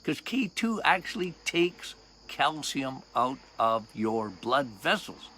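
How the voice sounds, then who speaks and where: An older man with a loud voice, a doctor hosting a health podcast outdoors, talking casually and emphatically, repeating key points and quizzing his listeners. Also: mid-range voice, sing-song, slow, slight background noise. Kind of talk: casual health talk monologue.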